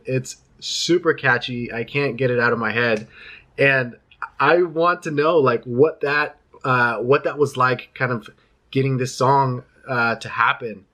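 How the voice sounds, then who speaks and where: A man's voice: medium pitch, sharp tone. Enthusiastic male interviewer on a call. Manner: enthusiastic, long rambling questions. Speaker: interviewer host. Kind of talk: casual interview over video call. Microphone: video call.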